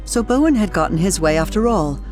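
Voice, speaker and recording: A woman's voice: mid-range voice, expressive, fairly quick, a little mellow, close to an everyday voice. A woman narrating a suspenseful mystery video game in a grave, scripted storyteller style. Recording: music under the voice. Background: background music.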